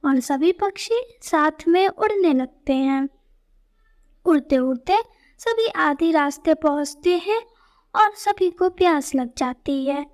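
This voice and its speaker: A woman's voice: high-pitched, expressive, slow, darkish tone. A woman narrating a scripted children's story as the storyteller.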